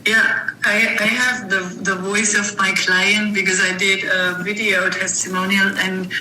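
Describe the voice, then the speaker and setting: A woman speaking as an interview guest, reflecting thoughtfully in halting phrases with pauses. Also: mid-range voice, little pitch movement, bright, forceful tone. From Germany. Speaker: interview guest, author and coach. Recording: roomy echo, faint background noise.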